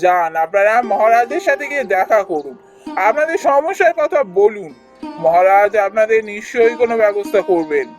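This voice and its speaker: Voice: medium pitch, animated, fairly quick, lightly acted. Cartoon king, played by an actor: just, kindly, formal and reassuring, over a music bed. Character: kindly, just young king of a fairy-tale realm. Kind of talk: Bengali fairy-tale cartoon dubbing. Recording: music under the voice.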